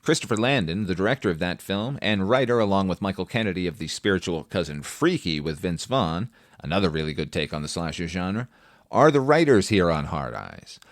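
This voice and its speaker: A man's voice: sits low, very animated, brisk, no echo, clean studio sound. A man hosting a film review podcast, delivering a scripted but conversational monologue in an opinionated, wry, casually profane way.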